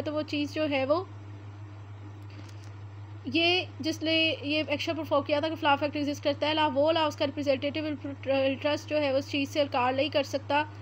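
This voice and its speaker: A woman with a Pakistani Urdu accent giving an explanatory law lecture, reading English statute text slowly word by word with frequent "uh" fillers. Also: high-pitched, lo-fi sound, a little hiss or hum.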